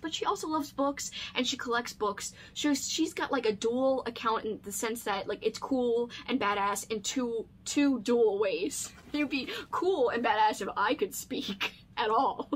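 A woman in her thirties chatting casually and gushing with excitement, as a video host in a home room. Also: fairly high pitch, normal speed, hardly any pauses. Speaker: book channel host. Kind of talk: casual chat, unboxing vlog. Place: small home room lined with books.

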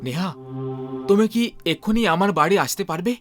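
A man voicing an obsessive, scheming young suitor in an animated horror story, with an intense manner. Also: sits high, brisk, over music, close to an everyday voice. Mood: smitten, persuasive.

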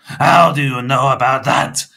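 Man as a stern, forceful vampire mentor. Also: lowish voice, a little slow. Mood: alarmed. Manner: stiff, curt, formal, gruff. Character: stern, humourless, proud male vampire mentor.

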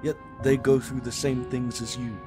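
A man playing Death, a calm, wise reaper who speaks in a measured, formal and reassuring way in a fantasy audio drama. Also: mid-range voice, music in the background, lightly acted.

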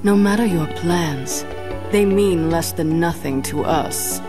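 A woman's voice: fairly low pitch, slow, over music. A woman voicing a haughty, otherworldly villainess in a video game, aloof, stately and disdainful.

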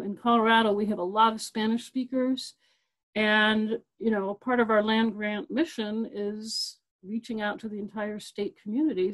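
A woman's voice: medium pitch, poor audio. Woman, middle-aged, librarian, webinar presenter, conversational, filler words, computer mic. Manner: conversational, repeats key points, many fillers and restarts.

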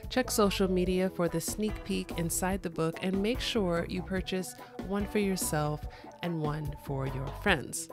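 A woman's voice: lowish voice, measured pace, over music. A woman from New York City hosting a podcast, reading her intro and then interviewing her guest with upbeat enthusiasm. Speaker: podcast host.

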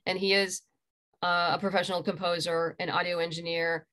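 A middle-aged woman giving casual, explanatory interview answers on a video call, haltingly thinking aloud. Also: on the low side, flat delivery, fairly bright tone. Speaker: interview guest, audio drama creator. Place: small room at home.

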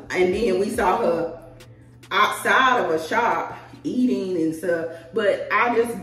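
A woman, chatting casually to camera in a rambling, animated, slangy way as a vlogger. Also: on the low side. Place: small tiled indoor room at home. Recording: echoey room, distant mic, music behind.

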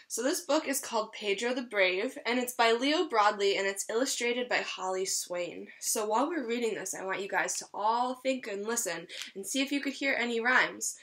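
A woman's voice: a little high, normal speed, hardly any pauses, fairly bright tone. A woman in her twenties, a teacher reading a picture book aloud to young children at home. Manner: clear storytelling for young children. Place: small room at home, indoors.

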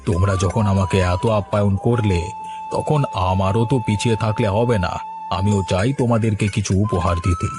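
A man's voice: fairly low pitch, fairly quick, natural voice. A man voicing a benevolent, bossy older family guest in a cartoon, avuncular and authoritative. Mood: appreciative. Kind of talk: cartoon voice acting. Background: music.

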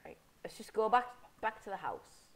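A man's voice: high-pitched, big pitch swings, moderate pace. A young man with a sniffly head cold, casually commenting on a game he is playing, rambling and correcting himself as he goes. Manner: rambling, self-correcting, mock-angry at enemies. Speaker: gameplay commentator.

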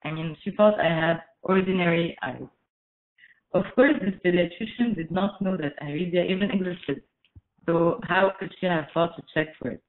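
A woman in her twenties from Egypt giving a prepared webinar talk, careful and measured, with frequent "uh" fillers. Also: fairly low pitch. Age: 20s. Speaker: webinar speaker. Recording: echoey, phone-like webinar audio.

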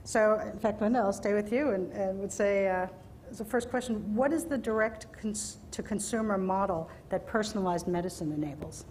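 Casting: woman, forties, medium-pitched panel moderator, slight room noise. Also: normal speed, darkish tone, poor audio. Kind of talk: moderating, formal questions. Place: indoor podium in a function room.

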